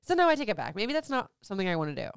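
A woman's voice: mid-range voice, huge pitch swings, rapid-fire, gravelly, smooth, no echo, studio quality. A woman in her thirties from Florida, chatting candidly as a host answering listener questions, rambling with lots of "like"s. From Florida, USA. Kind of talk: casual chat.

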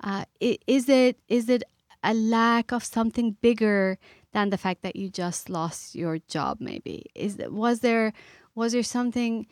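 A woman's voice: a little high, darkish tone, no echo, studio quality. Thoughtful middle-aged woman from Iran. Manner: earnest, thoughtful, pausing with fillers. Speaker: author and guest. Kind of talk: reflective interview talk.

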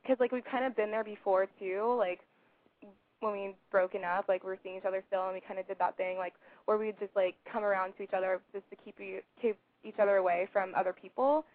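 A woman's voice: mid-range voice, steady tone, brisk, dry recording. A woman in her twenties calling in, hesitant and rambling with many fillers. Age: 20s. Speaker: caller. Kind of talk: casual phone call. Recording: dry tinny phone line.

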